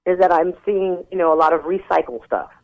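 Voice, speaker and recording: A woman rock singer speaks frankly and earnestly down a phone line, often restarting her sentences. Her voice has a gravelly fry. The sound is thin and narrow-band like a telephone. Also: on the low side, brisk. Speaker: rock singer and interview guest. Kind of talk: candid phone interview.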